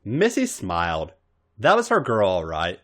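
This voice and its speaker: A man reading scripted fiction aloud as an expressive story narrator. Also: on the low side, a little slow, faint music behind. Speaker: podcast story reader.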